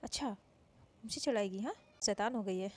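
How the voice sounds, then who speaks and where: A woman voicing a gentle elder sister who speaks softly and affectionately. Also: medium pitch, moderate pace, natural voice.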